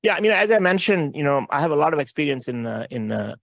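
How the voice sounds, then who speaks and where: Male executive on an earnings call: brisk, explanatory delivery with fry in the voice. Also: fairly high pitch, sing-song, fairly bright tone, dry recording, clean studio sound. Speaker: company chief executive.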